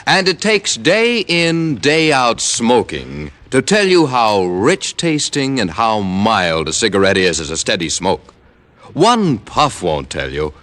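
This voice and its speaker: A man's voice: lowish voice, huge pitch swings, slow pace, a bit bright. A man announcing in an emphatic, persuasive 1950s radio advertising style.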